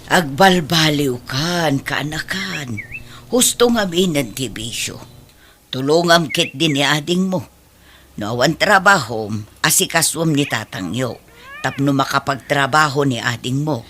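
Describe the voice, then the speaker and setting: An actress playing a stern middle-aged aunt who lectures in an admonishing way, in radio drama style. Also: female voice, low-pitched, unhurried, a bit bright. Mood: reproachful. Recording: faint background noise.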